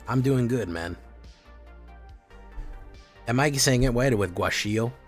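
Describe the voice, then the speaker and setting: A man's voice: mid-range voice, measured pace. A man streaming a card game, chatting casually with his viewers and reacting to plays. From Chile. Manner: relaxed, chatty, reacting to chat. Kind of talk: casual live-stream commentary. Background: faint music.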